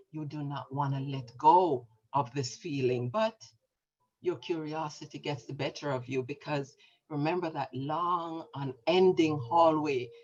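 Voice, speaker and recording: A woman leading a guided meditation, speaking softly and soothingly, drawing out her words. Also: low-pitched. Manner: soft, slow, soothing with drawn-out words. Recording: dull lo-fi recording.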